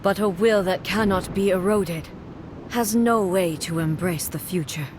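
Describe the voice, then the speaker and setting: A woman voicing a stoic, solemn warrior ruler in a video game, formal and measured in her delivery. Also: lowish voice, noisy background, lightly acted. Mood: resigned, solemn. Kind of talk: scripted video game voice acting.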